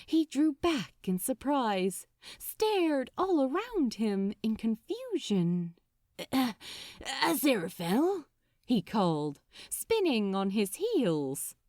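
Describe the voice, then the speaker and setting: A woman reading fiction as an audiobook narrator, expressive and dramatic, with deliberate phrasing. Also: fairly high pitch, no echo, studio quality.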